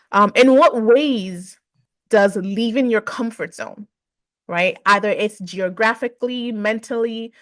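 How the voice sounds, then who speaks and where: A woman hosting a webinar Q&A in an upbeat, affirming manner. Her voice sits at a middle pitch. The sound is dry and close, with no room echo. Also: a bit bright. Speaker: webinar host. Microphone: video call.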